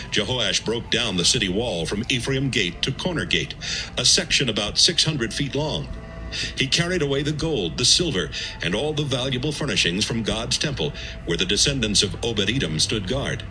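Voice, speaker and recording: A man's voice: fairly low pitch, sharp tone, low-quality recording. A man giving a scripted, dramatic narration of scripture as the narrator of an audio Bible. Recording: music bed behind the voice.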